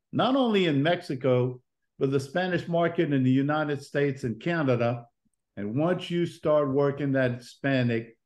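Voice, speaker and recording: Older man hosting a video call. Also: mid-range voice. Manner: relaxed, conversational. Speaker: host. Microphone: video call, computer mic.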